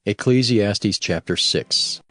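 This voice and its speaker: A man's voice: fairly low pitch, slow pace, fairly bright tone, soft background music. A man reading formal scripted chapter announcements for an audio scripture.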